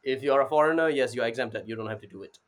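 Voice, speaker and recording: A man's voice: mid-range voice, fast. A man, answering casually as an interview guest and often saying "you know". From Singapore. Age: 20s. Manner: informal, repeats "you know". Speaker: interview guest, startup advisor.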